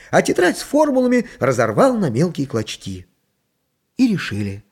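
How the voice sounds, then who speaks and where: Author-narrator, raspy husky voice, highish pitch, hugely expressive, confiding radio storytelling. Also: male voice, exaggerated voice.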